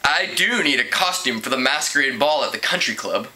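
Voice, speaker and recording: A man's voice: mid-range voice, expressive, brisk, bright, forceful tone, rough recording. A man voicing a sulky, curt teenage schoolgirl while reading a storybook. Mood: grudging. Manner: grudging, curt.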